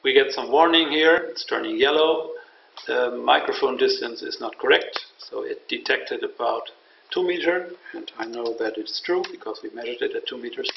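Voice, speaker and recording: A man giving an unscripted software tutorial in a measured, matter-of-fact and slightly hesitant way. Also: medium pitch, vocal fry. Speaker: software demonstrator. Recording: roomy, distant mic, dull, faint noise.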